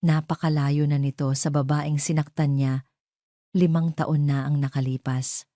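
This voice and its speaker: Female storyteller for scripted romantic drama, deep register, mellow tone, dry sound. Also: little pitch movement, measured pace, no echo. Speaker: storyteller, narrator.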